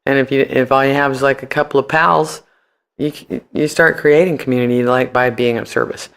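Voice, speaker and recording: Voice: medium pitch, fairly even intonation, a little fast, fairly bright tone, clean tone. A man being interviewed on a podcast, chatting casually and animatedly in loose slang with free swearing. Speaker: podcast guest.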